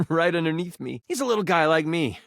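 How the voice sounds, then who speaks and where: An actor playing an excitable, earnest living twenty-sided die who runs fantasy tabletop games with playful, theatrical storytelling. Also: male voice, on the high side, brisk, creaky, no room sound, studio quality. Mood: eager, earnest. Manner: expressive, playful, shifting into grand storyteller phrasing. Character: excitable, earnest twenty-sided die come to life, eager game master. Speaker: tabletop game master. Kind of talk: theatrical fantasy game narration.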